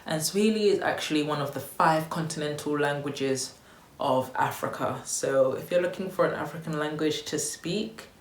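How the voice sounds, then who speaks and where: Young woman from Tanzania, casual upbeat vlogger, low-pitched, slight room echo. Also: normal speed. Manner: chatty and upbeat, loose with fillers like "um" and "you know". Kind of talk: casual vlog chat to camera. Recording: echoey room with faint noise.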